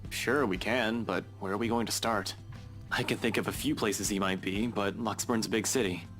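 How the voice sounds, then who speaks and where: A man's voice: fairly low pitch, fairly even intonation, moderate pace, over music, lightly acted. A man playing a worried young man putting on casual ease in a visual novel game. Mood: calm, concerned. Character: tense young man hiding worry behind casual ease.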